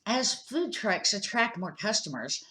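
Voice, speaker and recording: A woman's voice: medium pitch, very animated, measured pace, sharp tone. An older woman reading a children's storybook aloud as a grandmother would, an amateur who now and then stumbles and corrects herself.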